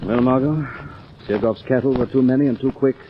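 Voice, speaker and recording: An actor playing an urbane, assured gentleman sleuth explaining things in an old radio drama. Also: medium pitch, fairly quick, loud music behind the voice, natural voice. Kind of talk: 1940s radio acting. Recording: muffled old radio recording, faint noise.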